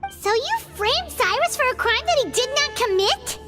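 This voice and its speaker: A woman voicing a small, nosy, childlike fairy companion in a video game, with a squeaky voice and a blunt, questioning manner. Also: expressive, moderate pace, big-room sound, over loud music. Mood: suspicious. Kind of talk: video game character acting.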